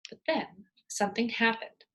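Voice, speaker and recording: A woman reading a children's story aloud like a teacher, expressive and dramatic. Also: on the high side, normal speed, rough recording.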